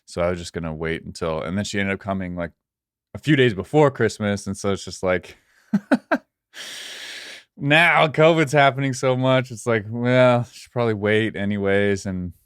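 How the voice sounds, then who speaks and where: Deep, smooth-voiced man, dry sound. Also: moderate pace, a bit bright, no echo. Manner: easygoing, filler-heavy talk. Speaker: podcast producer. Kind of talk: casual chat.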